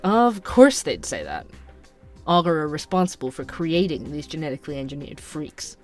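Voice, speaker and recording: An actor playing a sardonic, suspicious young night-shift barista who narrates a podcast in an audio drama, wry, conspiratorial and conversational. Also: male voice, a little high, big pitch swings, normal speed, soft background music. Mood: wry, scornful.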